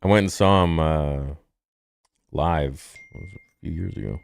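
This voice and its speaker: A man's voice: very deep voice, normal speed, vocal fry, dry recording. A man hosting a reaction video, chatting casually in a rambling way with lots of fillers.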